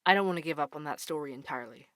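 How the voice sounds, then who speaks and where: Man playing a bright-voiced dogged investigative podcast host. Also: a little high, racing, no echo, studio quality, lightly acted. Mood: earnest, narrating. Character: male investigative podcast host, dogged, weary young employee. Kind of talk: scripted podcast narration and audio drama dialogue.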